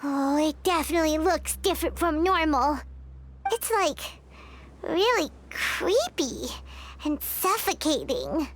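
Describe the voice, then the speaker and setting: A woman's voice: high-pitched, animated, slow, a little hiss or hum. A woman voicing a tiny floating female companion in a game, curious and chatty, full of eager questions.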